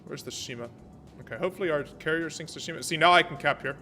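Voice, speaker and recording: An American man streaming a naval battle game, thinking aloud about tactics and chatting with viewers in a relaxed way. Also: fairly high pitch, fast talker, soft background music. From American, born in Germany. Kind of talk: live gameplay commentary and chat. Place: Vienna home setup.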